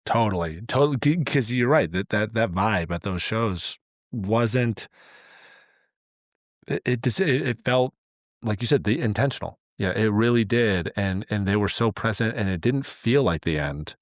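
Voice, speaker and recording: Very low-voiced man, earnest and halting. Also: expressive, no echo, studio quality. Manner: earnest, halting with repeated words. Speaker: podcast co-host. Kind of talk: casual reflective chat.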